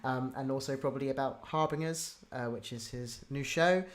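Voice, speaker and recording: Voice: mid-range voice, moderate pace. Casting: livestream host, mid-range voice, informal, chatty, enthusiastic. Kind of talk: casual livestream chat.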